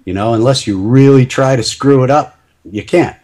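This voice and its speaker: A man's voice: mid-range voice, normal speed, a little mellow. A middle-aged man talking casually as a podcast guest, chatty and self-deprecating, laughing at himself often. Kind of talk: casual interview chat.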